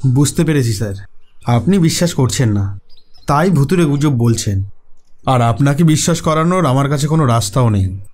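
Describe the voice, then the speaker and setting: A man's voice: mid-range voice, expressive, moderate pace, darkish tone, close to an everyday voice. A man playing an earnest, frightened renovation worker telling his story in a horror cartoon. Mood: earnest, insistent.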